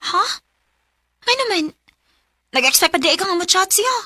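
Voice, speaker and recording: A teasing, nosy young Cebuano best friend in a radio drama. Her voice is very high and bright, close and dry. She prods and exclaims as a confidante would. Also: animated, moderate pace, dry recording, exaggerated voice. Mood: incredulous. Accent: Cebuano Filipino. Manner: prodding, exclamatory. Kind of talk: radio romance drama acting.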